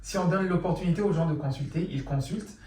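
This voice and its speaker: A middle-aged man from Mali, a psychologist answering interview questions in calm, measured explanations. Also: a little high, slight background noise. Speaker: psychologist guest. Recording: echoey room, from far off.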